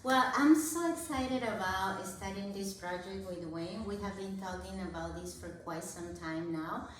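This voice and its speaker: A woman's voice: medium pitch, unhurried, slight background noise. Earnest woman from Peru, echoey room. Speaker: wellness coach. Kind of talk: conversational explaining. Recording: very echoey room, far from mic.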